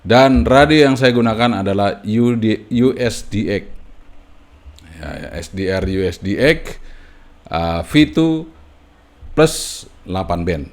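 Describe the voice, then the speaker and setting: A man's voice: lowish voice, expressive. A man gives a casual hands-on tutorial as a hobbyist presenter, explaining piece by piece and often tagging phrases with a short confirming word. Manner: halting, piecemeal explaining with frequent "ya" tags.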